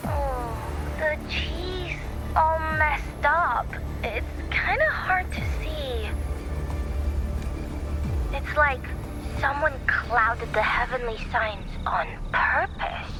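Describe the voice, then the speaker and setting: A woman's voice: sits high, extremely slow, low-quality recording. An actress playing a quirky, breezy young woman in a video game, explaining things in a slangy, playful way. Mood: musing. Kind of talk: video game character acting. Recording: music behind the voice.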